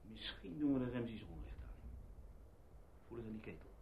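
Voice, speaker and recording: An actor in a radio drama playing an irritable, sarcastic husband with a grumbling, sardonic delivery. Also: male voice, fairly low pitch, animated, moderate pace, vocal fry. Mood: wary. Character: irritable, sarcastic husband fed up with a filthy holiday house. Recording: muffled, faintly noisy radio play recording.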